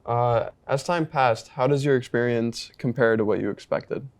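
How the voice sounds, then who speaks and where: A man's voice: mid-range voice, moderate pace. A teenage boy interviewing a classmate for a school project, reading his questions with frequent "uh.". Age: teens. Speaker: student interviewer.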